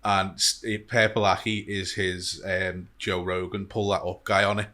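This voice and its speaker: A man's voice: sits low, little pitch movement, normal speed, sharp tone. A man in his thirties, a returning guest on a comedy podcast, telling stories drily and laughing at himself. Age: 30s. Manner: dry storyteller, laughs at himself. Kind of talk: casual chat.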